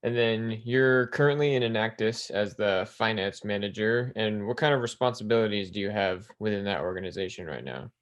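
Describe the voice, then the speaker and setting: Low-voiced male podcast host. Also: fairly quick, hardly any pauses. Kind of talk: interview questions.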